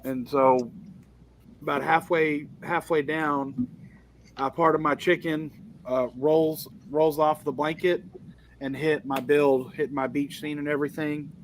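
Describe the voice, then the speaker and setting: A man's voice: on the high side, fairly even intonation, unhurried, a little hiss or hum. A man on a stream panel, telling a story about a stressful weekend and venting as he goes. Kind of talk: casual chat.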